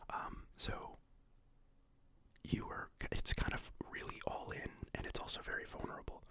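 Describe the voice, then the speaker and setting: A fully unvoiced, close whisper that drifts along in a loose, halting way, with many restarts and filler words. The speaker is getting over being sick and sniffles now and then. It is a calm ASMR-style walkthrough. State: sniffly, recovering from illness. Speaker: card game deck explainer.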